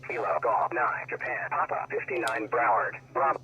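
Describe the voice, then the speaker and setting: A man's voice: medium pitch, lively intonation, normal speed, vocal fry, muffled recording. A man heard through a radio receiver, giving clipped contest exchanges as an amateur operator. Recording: noisy crackly shortwave radio audio.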